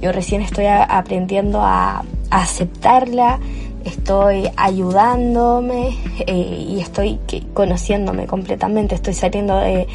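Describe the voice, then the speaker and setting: A woman's voice: mid-range voice, fairly even intonation, a little slow, creaky, a little mellow, faint music behind. A cheerful young woman with a Chilean accent, talking off the cuff as host of her own podcast on a cheap home microphone, with fillers and restarts. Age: young adult. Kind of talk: improvised solo podcast monologue.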